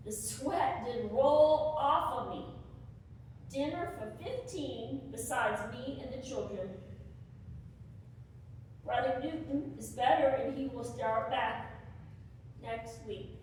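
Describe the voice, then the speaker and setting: Lady acting a plainspoken, careworn civil war wife, highish voice, far from the mic with a bit of noise. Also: slow, long pauses, a little mellow. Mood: indignant, weary. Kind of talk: dramatic reading of historical letters to an audience. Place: echoey room at a live presentation. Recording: echoey hall, distant mic, faint noise.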